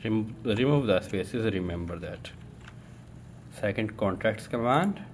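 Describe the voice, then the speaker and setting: A man presenting an informal step-by-step tech tutorial in a halting way, thinking aloud as he works. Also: fairly low pitch. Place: indoors at a computer desk. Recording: steady room noise.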